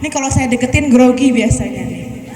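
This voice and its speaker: Woman, about 30, high voice, playful speaker working a student crowd in an echoey hall. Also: animated, a little fast, mellow, a little hiss or hum. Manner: chatty, teasing, calls students up front. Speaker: guest speaker visiting a school. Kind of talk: lively speech to students, joking and quizzing.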